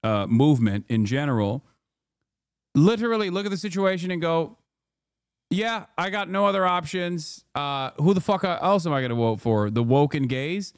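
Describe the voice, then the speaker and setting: A man's voice: a little high, lively intonation, moderate pace, clear, resonant tone, dry recording, studio quality. Sarcastic, profane man on a livestream. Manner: sarcastic, profane, talks over clips. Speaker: streamer reacting to news clips. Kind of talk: casual livestream commentary.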